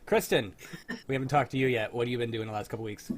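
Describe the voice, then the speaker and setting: A man's voice: medium pitch, fast. A man hosting a casual group chat and putting questions to the others. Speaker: host leading the chat. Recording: noisy online call mic.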